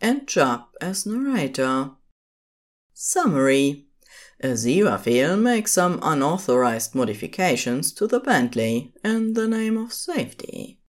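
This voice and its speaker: Very deep-voiced woman narrating, unhurried. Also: sing-song. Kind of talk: scripted story narration.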